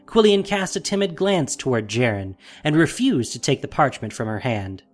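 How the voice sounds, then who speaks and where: A man's voice: mid-range voice, expressive, moderate pace, soft background music. A man narrating a fantasy audio drama in a dramatic, scripted storytelling style.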